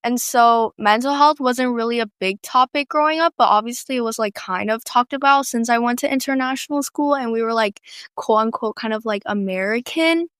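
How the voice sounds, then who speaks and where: Sex: woman. Origin: Vietnam. Role: guest. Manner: brisk, thoughtful. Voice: higher pitch, smooth, clear. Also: a bit bright, no room sound, clean studio sound. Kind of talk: casual chat.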